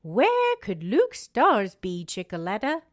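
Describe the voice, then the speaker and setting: A woman voicing an excitable, fretful female town mayor from a storybook, fluttery and emphatic. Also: mid-range voice, takes it slowly, no room sound, clean studio sound. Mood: nervous.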